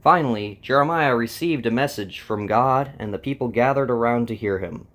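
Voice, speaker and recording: A man's voice: mid-range voice, normal speed, a bit bright. A man hosting and narrating a scripted dramatized story. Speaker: narrator and host.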